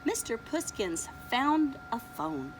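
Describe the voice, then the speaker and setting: A preschool teacher reading a picture book aloud to young children, slowly and expressively, pointing out the pictures. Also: female voice, on the high side, noisy background. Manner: slow, expressive read-aloud, showing pictures.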